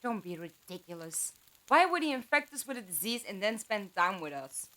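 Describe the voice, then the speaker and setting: A woman's voice: medium pitch, very animated, normal speed, sharp tone. A woman acting a sensible, dry-witted, loyal character in an audio drama. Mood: skeptical, dry.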